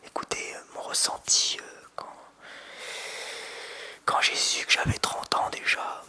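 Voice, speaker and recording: Slow whispering man in his thirties. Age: 30s. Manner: soft whisper with hesitant restarts. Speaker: ASMR creator. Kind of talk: ASMR whispering. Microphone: close binaural mic with hand sounds.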